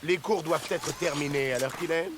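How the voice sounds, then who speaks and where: A man's voice: on the high side, brisk, noisy background, lightly acted. A man voicing an earnest rescue fire truck who teaches safety emphatically in a children's cartoon. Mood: earnest, instructive. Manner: instructive, emphatic. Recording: loud music behind the voice.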